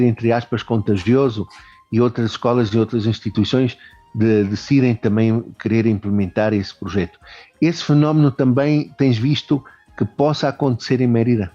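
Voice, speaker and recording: Dark-voiced male host, dull lo-fi audio. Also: medium pitch, steady tone, normal speed, faint music behind. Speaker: interviewer, host. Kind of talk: interview questions.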